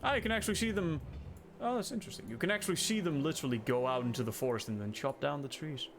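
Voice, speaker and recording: A young man with a Dutch accent and a calm, soothing voice, rambling in stream-of-consciousness fashion as he streams and comments on a game. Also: a little high, fast, a little hiss or hum. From Netherlands. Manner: rambling stream-of-consciousness musing, thinking aloud. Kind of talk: casual livestream commentary while playing.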